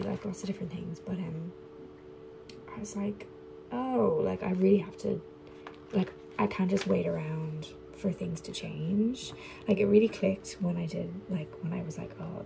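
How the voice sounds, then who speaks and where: A woman of thirty talks in a soft, meandering voice-over, leaning on "like" and "um" as she goes. Her voice sits low and has a gravelly fry. Music plays clearly beneath her. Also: low-quality recording. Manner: rambling, frequent "like" and "um", soft-spoken. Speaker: journal video creator. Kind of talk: relaxed voice-over chat. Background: background music.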